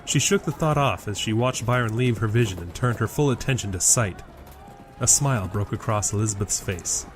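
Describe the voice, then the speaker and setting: A man's voice: lowish voice, fairly quick. A man reading scripted narration for an audio drama. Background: loud music.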